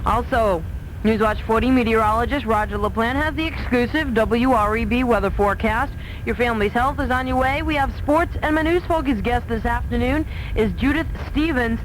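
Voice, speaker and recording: A woman's voice: fairly high pitch, moderate pace. A woman hosting a midday radio newscast, reading scripted news on an AM broadcast taped off air. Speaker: radio news host and newsreader. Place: radio studio. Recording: noisy AM radio aircheck off tape.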